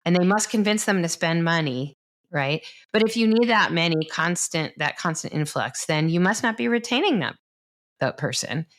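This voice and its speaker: Low-voiced woman doctor in her fifties. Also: lively intonation, moderate pace, a little hiss or hum. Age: 50s. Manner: enthusiastic, explains science plainly, laughs often. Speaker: doctor, podcast host. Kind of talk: casual conversation.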